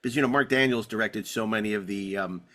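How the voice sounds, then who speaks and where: A man hosting a podcast in casual discussion, telling rambling anecdotes with halting, repeated words. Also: on the low side, bright, forceful tone. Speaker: podcast host. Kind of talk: casual panel discussion of classic TV.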